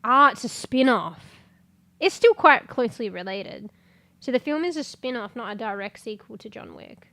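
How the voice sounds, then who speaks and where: A congested woman chatting casually on a livestream, rambling, swearing and breaking into sudden loud exclamations as she reads things aloud. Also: a little high, animated, moderate pace, vocal fry, dry recording. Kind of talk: casual stream chat, reading aloud.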